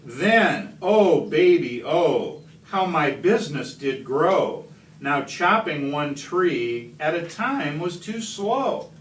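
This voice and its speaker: A man reading a rhyming picture book aloud expressively, with deliberate, emphatic phrasing and dramatic stresses. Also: fairly high pitch, sing-song. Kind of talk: expressive storybook read-aloud in rhyme. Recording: distant mic, echoey room, background noise.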